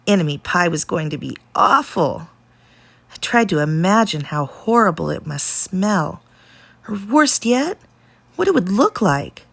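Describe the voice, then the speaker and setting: A woman reading a children's story aloud expressively, with deliberate pauses and dramatic emphasis. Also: on the low side, big pitch swings, vocal fry, a bit bright, slightly rough, dry recording.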